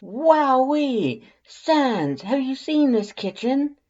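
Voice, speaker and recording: A reader voicing a loud, excitable male skeleton with a grandiose, theatrical manner in a fan fiction audiobook. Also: high-pitched, drawn-out words, highs cut off, voice pushed up, full character voice. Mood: excited.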